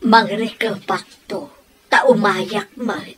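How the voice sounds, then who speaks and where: A woman's voice: mid-range voice, steady tone, slow pace, a bit bright, slight background noise. A woman playing a villager in a comedy radio drama, with an Ilocano Filipino accent. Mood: pleading. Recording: music bed behind the voices.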